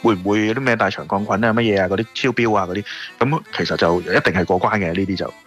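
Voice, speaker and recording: Man, Hong Kong Cantonese accent, casual explanatory chat, crisp bright tone, background music. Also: on the low side, racing. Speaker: radio interview guest, shop owner. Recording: music playing behind the voice.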